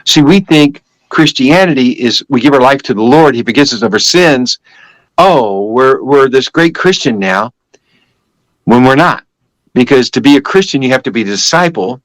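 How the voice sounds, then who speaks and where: A man's voice: mid-range voice, expressive, normal speed, dry recording, low-quality recording. An older man giving a personal religious testimony as a guest, telling it in an emphatic, repetitive, conversational way.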